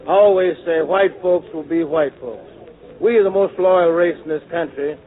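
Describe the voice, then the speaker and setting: A man's voice: on the high side, moderate pace, natural voice. An actor playing a cynical, resentful, slangy agitator pressing his point in a 1940s radio drama. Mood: cynical, insistent. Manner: slangy, insistent. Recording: tinny, faintly noisy old radio.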